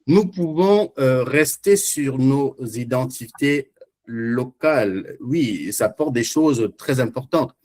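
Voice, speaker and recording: A man's voice: mid-range voice, expressive, takes it slowly, a little mellow. A man moderating a panel discussion, who thanks the speakers and puts forward his own argument in a sure tone. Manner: fluent, assertive, argumentative.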